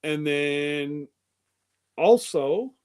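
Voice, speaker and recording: A man's voice: a little high, animated, drawn-out words, a little mellow, smooth. A man in his fifties hosting a live stream, chatting casually in a loose, rambling way. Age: 50s.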